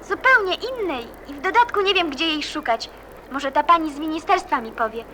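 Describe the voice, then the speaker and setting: Woman playing a high-voiced stubborn cheerful teen girl in a radio play, asks stuff very earnestly, noisy background. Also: animated, a little fast, bright, forceful tone. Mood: brisk, questioning. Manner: earnest, inquisitive, plain-spoken. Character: eager, frank teenage girl, cheerful and stubborn. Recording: noticeable background noise and ambience.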